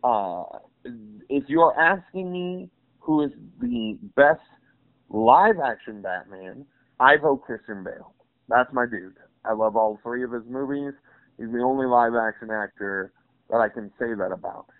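A man's voice: mid-range voice, unhurried, no echo. A man being interviewed by phone, casually ranting in an opinionated, emphatic, nerdy way. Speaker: interview guest. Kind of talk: casual comic rant about films. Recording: dull phone interview audio.

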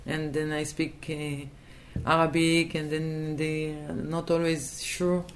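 Woman with a hesitant, halting delivery. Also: sits low, flat delivery, slight background noise. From Israel. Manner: hesitant, searching for words, many restarts. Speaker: interview guest. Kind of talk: thoughtful conversational interview.

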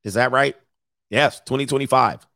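A man's voice: medium pitch, moderate pace, gravelly, pushed and bright, no room sound, studio quality. A man in his forties hosting mocking reaction commentary, brash and slangy, laughing often. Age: 40s. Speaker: commentary host.

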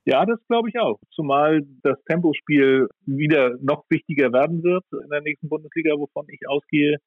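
Raspy guy doing sports punditry, considered and chatty, dull muffled recording. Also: fairly high pitch, moderate pace, no room sound. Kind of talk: conversational sports analysis. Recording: dull, band-limited audio.

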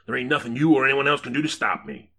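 A man voicing a greedy, menacing older Western outlaw with a rasping, boastful, sneering delivery. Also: medium pitch, fast, pushed and bright, full character voice. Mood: scornful.

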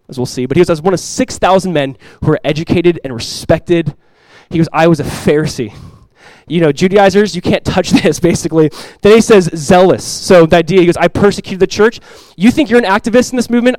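A man's voice: a little high, darkish tone, no room sound, low-quality recording. A pastor giving a conversational, earnest sermon, asking his listeners rhetorical questions. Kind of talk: expository sermon.

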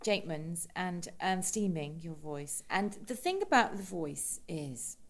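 A middle-aged woman, a stage actress, chatting in an interview in a rich, deliberately lowered voice, a little tired, with many restarts and quoted asides. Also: expressive. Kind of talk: interview chat.

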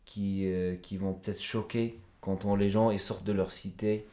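A man's voice: sits low, little pitch movement, moderate pace, mellow, reverberant. A man talking as a podcast guest, earnest and reflective, explaining his views at length in a conversational interview.

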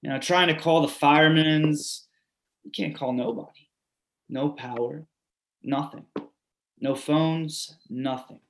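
A man's voice: a little high, steady tone, takes it slowly, long pauses, reverberant, background noise. An actor playing a longtime male resident who recounts the storm fires in an earthy, emphatic way, swearing and then apologizing. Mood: shaken. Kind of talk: readers theatre monologue.

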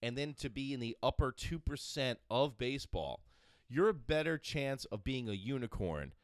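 A man co-hosting a loose chat show, talking in animated, swooping tones and rambling through his arguments with plenty of swearing. His voice sits close and dry, with no room around it. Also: medium pitch, moderate pace, dry recording. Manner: excitable, swears, argues in run-on rambles. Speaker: podcast co-host. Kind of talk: casual banter.

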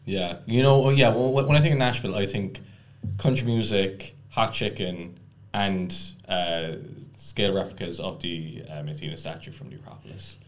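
A man's voice: low voice, normal speed. A young man co-hosting a podcast, talking casually with lots of hesitations and dry humor. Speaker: podcast co-host. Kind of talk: casual chat.

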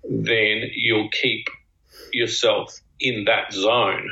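A man co-hosting a talk show, musing slowly in a reflective, conversational way. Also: lowish voice, flat delivery, creaky, sharp tone. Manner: slow, musing, with drawn-out phrases. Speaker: podcast co-host. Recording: dull lo-fi sound.

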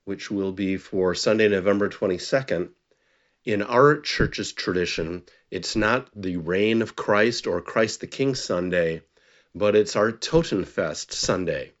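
A man preaching a sermon as a pastor, with measured, deliberate phrasing, emphatic rises and falling sentence endings. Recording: very echoey church, distant mic, muffled, faint rumble.

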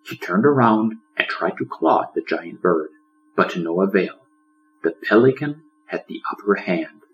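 A man narrating a children's story as an audiobook, in a dramatic, expressive storyteller's manner. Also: sits low, normal speed, lo-fi sound, soft background music. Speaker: author reading own audiobook.

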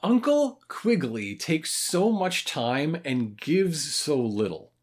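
Casual review podcaster, thirties, medium pitch with very lively swings, thinks aloud with pauses. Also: breathy edge. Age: 30s. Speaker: podcast co-host. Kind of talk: casual review chat about a cartoon.

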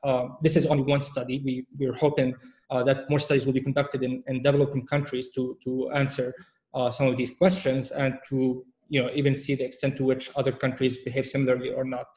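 A man, a researcher, answering interview questions in a measured way, with frequent fillers and restarts. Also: mid-range voice, flat delivery, darkish tone. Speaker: researcher guest.

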